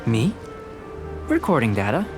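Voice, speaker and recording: A male actor voicing a young alchemist researcher in a fantasy game, speaking in a measured, formal, analytical way. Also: mid-range voice, huge pitch swings. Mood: calm, detached. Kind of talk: scripted video game voice acting. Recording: clear background music under voice.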